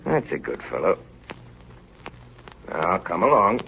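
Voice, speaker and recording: An actor playing a steady, authoritative ship's officer in a 1930s radio drama, grave and firm with clipped phrasing. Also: male voice, sits low, slow, gravelly, exaggerated voice. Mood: calming, coaxing. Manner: grave, clipped log-style narration, firm in dialogue. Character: steady, authoritative ship's chief officer narrating polar ordeal. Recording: dull, lightly noisy 1930s radio recording.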